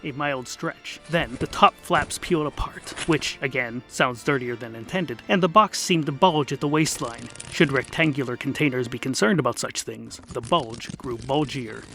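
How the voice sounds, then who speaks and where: A man's voice: mid-range voice, moderate pace, creaky, hardly any pauses, bright, forceful tone. A man narrating a comic audio drama in an arch, theatrical and wry style. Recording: music under the voice. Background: background music.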